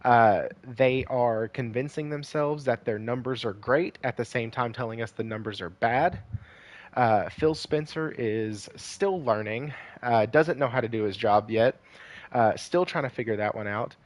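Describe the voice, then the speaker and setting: A man hosting a casual gaming livestream, hoarse and losing his voice, rambling with lots of fillers and greeting viewers by name. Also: medium pitch, a little slow, no room sound.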